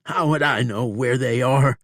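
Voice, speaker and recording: A man's voice: mid-range voice, a little slow, fairly bright tone, no echo, studio quality, voice pushed up, lightly acted. A man voicing a frightened, injured male outlaw who yelps and cries out in pain, in an audiobook. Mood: pleading. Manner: yelping, howling and crying out in pain. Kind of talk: audiobook character voice.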